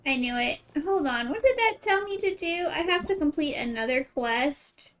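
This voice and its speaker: High-voiced woman streaming a game. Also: animated, moderate pace, fairly bright tone. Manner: reactive, playful asides. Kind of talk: casual live gameplay commentary.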